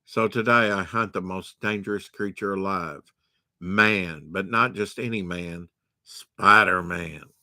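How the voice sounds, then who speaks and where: A man's voice: sits low, takes it slowly, pushed and bright, no room sound, clean studio sound, heavily acted. A man voicing a boastful male hunter villain from a storybook, speaking in grand, menacing proclamations.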